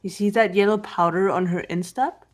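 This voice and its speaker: Calm, unemotional teenage girl detective played by a woman. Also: mid-range voice, moderate pace, faint music behind, close to an everyday voice. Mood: calm, analytical. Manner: composed, matter-of-fact, precise. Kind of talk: scripted visual novel voice acting.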